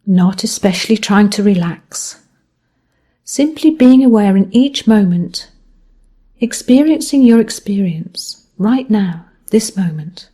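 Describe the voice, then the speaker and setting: A woman guiding a body scan meditation as a mindfulness teacher, speaking gently in measured phrases that end softly. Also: mid-range voice, lively intonation, slightly rough.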